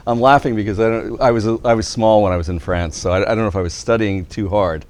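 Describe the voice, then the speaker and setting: A man's voice: fairly low pitch, fairly even intonation, brisk, darkish tone. A middle-aged man, a priest and studio guest, telling his life story in a chuckling, self-deprecating way with some restarts. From born in Sweden, raised partly in the US. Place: television studio.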